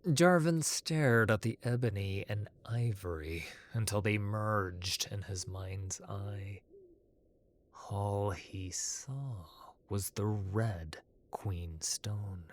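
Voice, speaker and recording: A man's voice: lowish voice, extremely slow. A man reading a scripted fantasy story as narrator.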